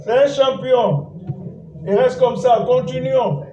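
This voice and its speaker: A man's voice: very high voice, slow, mellow, a little hiss or hum. A man preaching a sermon as pastor, exhorting and calling for responses from the congregation. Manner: exhorting, repeats phrases, calls and responses with congregation. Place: church. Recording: echoey church hall, distant mic, dull sound.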